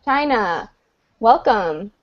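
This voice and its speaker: A woman teaching English on a video call, her voice pitched a little high and delivered patiently, clearly and encouragingly. The audio is dull and lo-fi. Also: sing-song, drawn-out words. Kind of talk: online group class.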